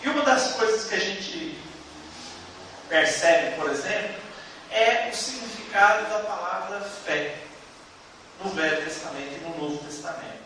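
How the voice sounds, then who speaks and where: A man with a Brazilian Portuguese accent lecturing a theology class in a didactic way, explaining through examples with emphatic pauses. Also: high-pitched, animated, sharp tone. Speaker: theology teacher. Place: classroom, indoors. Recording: echoey room, distant mic, faint noise.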